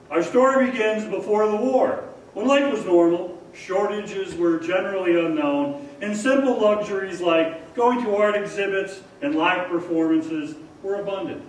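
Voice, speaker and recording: A man playing an upbeat, theatrical 1940s radio show announcer, welcoming a live audience as host. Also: high-pitched. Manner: theatrical, showy, addressing the audience. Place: stage of a performing arts hall. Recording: echoey hall from far off, faint noise.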